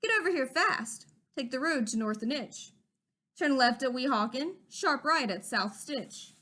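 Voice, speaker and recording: A greedy storybook businessman brags and pitches his wares in a high, lively voice, as a woman reading aloud puts him on. He sounds brash and salesy, eager to talk up what he sells. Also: unhurried, heavily acted. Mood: boastful. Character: greedy boastful male businessman.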